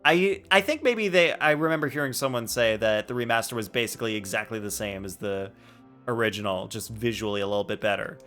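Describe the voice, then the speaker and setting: A man's voice: fairly low pitch, brisk, a bit bright, soft background music. A man streaming a game, chatting casually and musing aloud in a rambling way. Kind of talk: casual live-stream chat and commentary.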